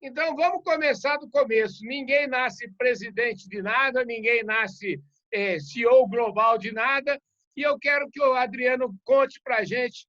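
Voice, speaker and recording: A man's voice: very high voice, a little slow, bright, forceful tone. A man hosting a podcast interview in a casual, chatty and jokey way. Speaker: podcast host. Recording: dull lo-fi sound.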